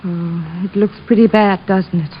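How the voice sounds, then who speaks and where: An actress playing a cool, controlled, polite wife in an old radio noir detective drama. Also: female voice, fairly low pitch, fairly even intonation, a little slow, natural voice. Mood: cool, composed. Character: cool, composed suburban wife of a suspect. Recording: muffled, noisy old radio recording.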